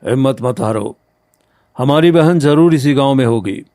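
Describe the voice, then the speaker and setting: A man's voice: mid-range voice, normal speed, mellow, no room sound, close to an everyday voice. Man playing an earnest poor farmer, dry sound. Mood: determined. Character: honest poor farmer, earnest protective older brother. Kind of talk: Hindi cartoon story acting.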